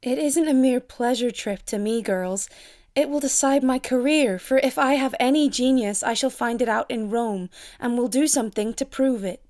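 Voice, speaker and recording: A woman's voice: a little high, moderate pace, a little mellow, close to an everyday voice. An actress playing a prim, ambitious young lady in a period audio drama, speaking precisely and properly. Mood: earnest, self-assured.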